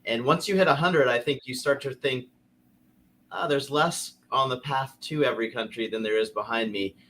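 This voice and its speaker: A middle-aged man answering as a panel guest, upbeat, brisk and a bit jokey. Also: medium pitch. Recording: noisy call line.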